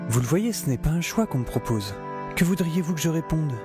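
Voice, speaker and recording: Man playing an excitable, hesitant young professor, lively pitch. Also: a little fast, over music, close to an everyday voice. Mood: wry, confiding. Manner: colloquial, hesitant, wry. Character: hesitant, excitable young male professor, wry narrator-hero. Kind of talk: audio drama dialogue and first-person narration.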